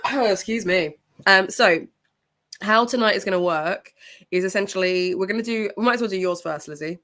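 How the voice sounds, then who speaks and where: A woman's voice: mid-range voice, moderate pace, a bit bright. A young woman hosting a book livestream, talking casually with a blocked nose and sore throat, greeting viewers by name and laughing often. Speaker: book channel host.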